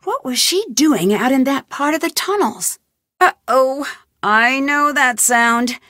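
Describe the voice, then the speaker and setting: Persistent teen sleuth, slightly high, animated, dry studio read. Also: female voice, slow pace, dry recording, heavily acted. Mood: curious. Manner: inquisitive, thinking aloud while investigating. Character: young female amateur detective, curious and persistent. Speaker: game protagonist. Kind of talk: game voice acting. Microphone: studio microphone.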